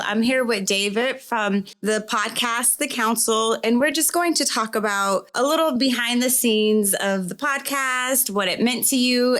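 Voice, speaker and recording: A woman's voice: on the high side, a bit bright. Woman podcast host in conversational interview.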